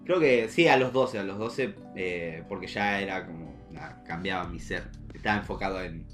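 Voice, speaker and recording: A young man with a Rioplatense Argentine accent co-hosting a casual podcast, jokey and slangy, telling stories in an animated way. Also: deep voice, normal speed, soft background music. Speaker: podcast co-host.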